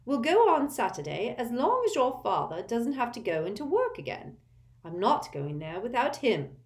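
Woman voicing a sharp scolding mother. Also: a little high, big pitch swings, normal speed, pitched above the performer's own voice. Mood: worried. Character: fussy anxious middle-aged mother, quick to anger.

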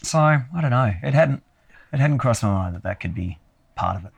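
A man with a croaky, hoarse voice from a cold, chatting casually with dry, wry humor. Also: mid-range voice, animated, brisk, no room sound. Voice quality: croaky, gravelly voice. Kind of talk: casual chat. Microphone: held too far from the mic.

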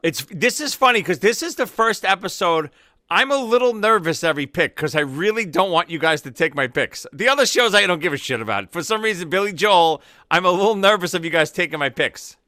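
A man co-hosting a podcast in casual chat, chatty and quick to laugh, a little nervous about his picks. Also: high voice, lively intonation, bright, forceful tone, no echo, clean studio sound. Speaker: podcast co-host.

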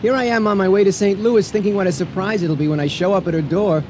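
An actor playing a flustered young businessman husband in a radio drama, hurried and stammering. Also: high-pitched, steady tone, background noise, lightly acted. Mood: amused, harried.

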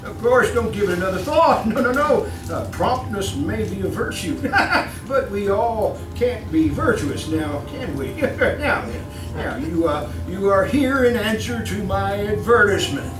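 Smarmy radio drama con man, stammery and giggly, pitch all over, echoey stage far from mic. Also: animated, unhurried. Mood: oily, fussy. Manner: stammering, repeating words, nervous chuckling. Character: fussy, smarmy, chuckling male swindler posing as philanthropist employer. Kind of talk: old-time radio drama acting. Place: live theatre stage. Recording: roomy distant live stage, music behind.

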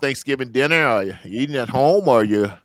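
A man hosts a relaxed holiday podcast and talks haltingly, filling gaps with "uh". His voice sounds dry and close, with little room around it. He stays at an ordinary middle pitch. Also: dry recording. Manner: halting, many uh fillers. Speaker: podcast host. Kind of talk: casual podcast interview.

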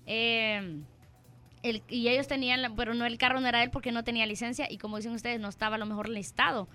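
A woman's voice: a little high, fairly even intonation, quick pace, sharp tone, soft background music. A Mexican woman hosting a casual chat, talkative and full of affirming fillers. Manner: chatty, frequent affirming fillers.

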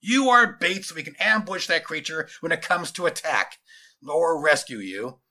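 A narrator playing a self-righteous military commander in a dramatized storybook. The delivery is tense and forceful, with lively pitch. He talks down to everyone with menacing authority. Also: moderate pace. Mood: gloating.

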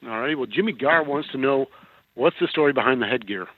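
A man co-hosting, reading out listener questions in a casual, relaxed way. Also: medium pitch, fast talker, husky, dry recording. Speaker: co-host. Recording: tinny dry phone line.

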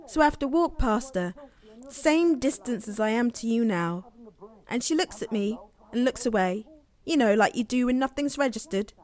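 A woman acts a young English mother and protective big sister in a naturalistic radio play. Her voice sits high and moves widely in pitch. She is blunt and emphatic, exasperated but fiercely loyal, often repeating herself for force. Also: animated, moderate pace, a little mellow, lightly acted. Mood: resolute, bitter. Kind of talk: naturalistic audio drama acting.

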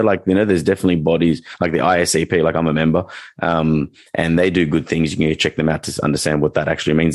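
A man's voice: extremely low pitch, dry recording, clean studio sound. A man who works as a dog trainer, co-hosting a casual podcast chat and talking in a rambling way, with many restarts and fillers.

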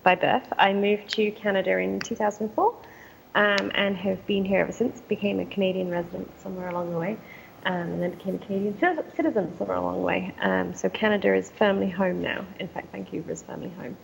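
A woman's voice: medium pitch, steady tone, brisk, vocal fry, slight background noise, low-quality recording. A woman with an English accent, answering interview questions about her business in a conversational way with frequent ums. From England. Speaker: guest, company founder.

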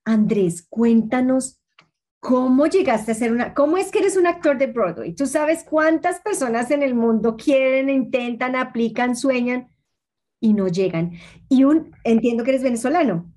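A woman's voice: high-pitched, animated, a little slow, dark tone. A woman hosting an interview podcast in a conversational, effusive and admiring way.

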